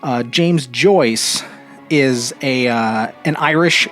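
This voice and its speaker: An American man with a mid-pitched voice hosts a podcast on his own. He talks in a casual, rambling monologue, often breaking off and picking up again. Faint music plays behind his informal delivery. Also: slow pace, fairly bright tone. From Vermont, New England. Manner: informal, rambling, self-interrupting. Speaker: podcast host.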